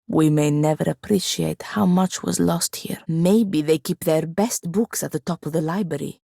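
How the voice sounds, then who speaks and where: A woman's voice: sits low, vocal fry, no echo, full character voice. A woman voicing a sardonic, haughty female wizard in a video game, with a dry, disdainful and articulate delivery. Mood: grave, dry. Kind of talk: video game character dialogue.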